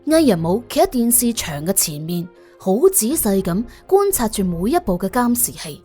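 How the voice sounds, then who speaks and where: 一個女人用粵語演繹有聲偵探小說，照稿講故事，做旁白。. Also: female voice, medium pitch, big pitch swings, normal speed, dark tone, husky, soft background music. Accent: Cantonese. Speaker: audiobook narrator. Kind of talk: expressive scripted detective-story narration.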